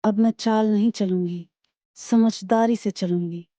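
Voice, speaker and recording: A woman's voice: medium pitch, steady tone, unhurried, dark tone, no echo, close to an everyday voice. A woman voicing a sly female jungle animal, humbled and rueful, in a cartoon story. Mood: remorseful, thoughtful.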